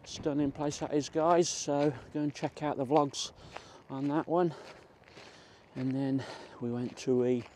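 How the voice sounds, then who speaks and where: Middle-aged Englishman, slow easygoing vlog chat. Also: on the high side, fairly even intonation, a little mellow. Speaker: vlogger. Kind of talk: casual walk-and-talk chat. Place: outdoors in a country park. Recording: faint outdoor ambient noise.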